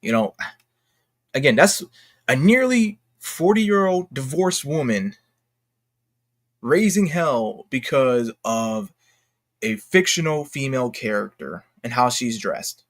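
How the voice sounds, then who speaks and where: A man's voice: mid-range voice, sing-song. Man in a rambling, emphatic casual rant. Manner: rambling, halting, self-interrupting; exclamatory, slangy, emphatic. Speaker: YouTube commentator. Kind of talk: unscripted casual commentary rant.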